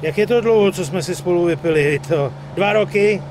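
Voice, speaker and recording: A breezy wiseguy gangster played by a male actor with a fairly high voice, full of slang and jokes. It is video game dialogue over clear engine noise. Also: close to an everyday voice. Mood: warm, upbeat. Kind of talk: video game cutscene acting.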